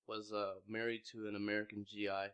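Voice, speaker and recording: A man's voice: fairly low pitch, monotone, brisk, a bit bright, smooth, dull audio. A man in his mid twenties from Vietnam, telling his story in a casual interview with lots of fillers and restarts. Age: mid 20s. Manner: rambling, heavy use of "like", frequent restarts. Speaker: interviewee, young clothing brand founder.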